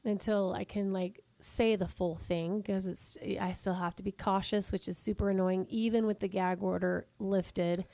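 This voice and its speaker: A middle-aged woman with a husky, raspy voice, hosting a casual podcast chat, joking about herself and laughing often. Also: mid-range voice, fairly even intonation, normal speed, few pauses, dark tone, no echo. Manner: chatty, self-deprecating, laughs often. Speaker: podcast host.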